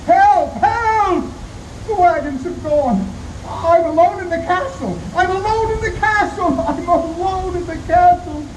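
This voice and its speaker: A woman playing a young man trapped alone in a castle, crying out frantically in a live stage radio play, recorded outdoors. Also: sits high. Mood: panicked. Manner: frantic, repeated cries for help. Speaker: community theatre actor. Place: outdoor stage in constant wind. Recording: distant, echoey, windy outdoor live recording.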